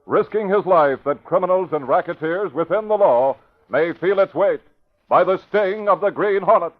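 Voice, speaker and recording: A man narrating and announcing in dramatic 1940s radio style, booming and theatrical. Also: moderate pace. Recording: tinny noisy old radio broadcast.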